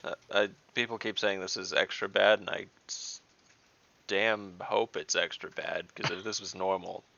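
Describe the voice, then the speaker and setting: A man's voice: deep voice, steady tone, normal speed, gravelly, sharp tone. A man playing a tabletop game, direct and joking with a crude sense of humor. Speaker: player. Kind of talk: casual tabletop play. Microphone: home mic on video call. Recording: dull lo-fi audio.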